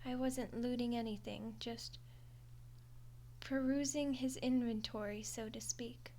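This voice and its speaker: A high-pitched skeleton girl acted by a woman speaks straight to the listener in an audio roleplay. She is friendly and awkward, taking her time and stumbling hesitantly through wry, chatty excuses. There is some background noise on the recording. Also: steady tone, a little slow, warm tone, rough recording. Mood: defensive, flippant. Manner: hesitant, chatty, wryly defensive.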